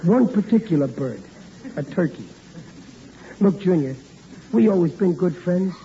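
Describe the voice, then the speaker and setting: A bumbling radio sitcom dad, pitched high with a mellow tone. He stammers, repeats himself and blusters in exasperation whenever his schemes wobble. It sounds like a dull, noisy vintage broadcast. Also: animated, measured pace. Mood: sheepish scheming. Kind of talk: 1940s radio comedy acting.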